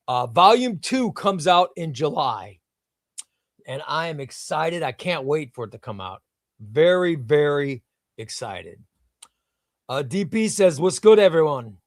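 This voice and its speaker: A man's voice: a little high, big pitch swings, unhurried, dry recording, clean studio sound. A man hosting a casual livestream, reading viewer comments aloud and gushing with enthusiasm, often repeating himself. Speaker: livestream host.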